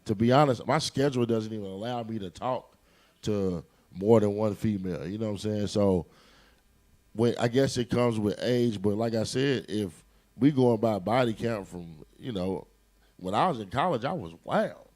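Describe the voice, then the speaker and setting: Laid-back man in his thirties, low-pitched. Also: normal speed, a little mellow. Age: 30s. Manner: frank, laid-back, keeps asking "you see what I'm saying". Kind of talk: casual group chat.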